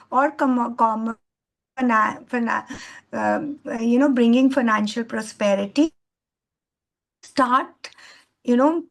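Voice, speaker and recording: High-voiced Indian woman lecturing earnestly. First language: Hindi. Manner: earnest, rambling with many fillers and restarts. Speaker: guest trainer. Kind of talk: online lecture.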